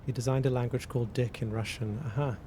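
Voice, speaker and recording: Halting man streaming over a rumble. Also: fairly even intonation. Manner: thinking aloud, halting, repeats words. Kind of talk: casual live-stream chat, reading chat and working math aloud. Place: cold indoor room. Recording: noisy with a low rumble.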